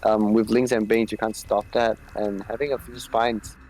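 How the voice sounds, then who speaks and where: A man's voice: on the low side, flat delivery, normal speed, darkish tone. Playful young male esports co-caster. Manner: playful, rambling, trails off mid-sentence. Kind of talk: live game commentary.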